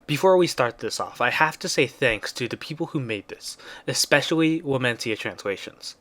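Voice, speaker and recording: A man's voice: medium pitch, lively intonation, moderate pace, fairly bright tone. Man narrating a scripted review. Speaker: video game reviewer.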